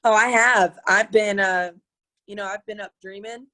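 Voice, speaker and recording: Raspy-voiced bright woman guest. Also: mid-range voice, normal speed, low-quality recording. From Colorado, USA. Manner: energetic, laughs often, many fillers. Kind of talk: casual interview chat. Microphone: webinar video call.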